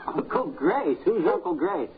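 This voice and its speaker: A man's voice: high voice, lively intonation, measured pace, breathy edge, exaggerated voice. An American man playing a blustery, folksy, wisecracking married man in a 1950s radio comedy. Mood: chatty. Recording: tinny, noisy old radio recording.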